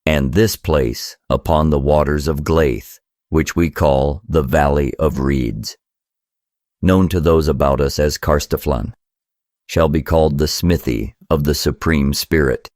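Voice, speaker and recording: A man giving a solemn scripted reading of scripture, with measured, formal phrasing. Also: rumbling low, darkish tone, dry recording, studio quality. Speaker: reader of a scriptural book.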